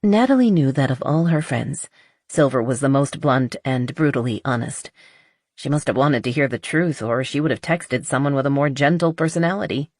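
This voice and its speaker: A woman with a husky voice reading scripted romance narration as an audiobook narrator. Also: rumbling low, normal speed, dry recording, clean studio sound.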